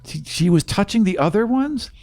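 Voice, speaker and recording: A man playing a hesitant, gentle young god visiting from the future in a tabletop roleplay, speaking in halting, apologetic phrases. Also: sits high, animated, no room sound. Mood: grave, explanatory. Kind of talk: improvised in-character tabletop roleplay. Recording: music playing under the voice.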